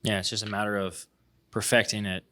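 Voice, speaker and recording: A man hosts a radio interview with a lowish, bright voice. He talks fast and keeps affirming and finishing his guest's points. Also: level tone. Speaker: radio show host.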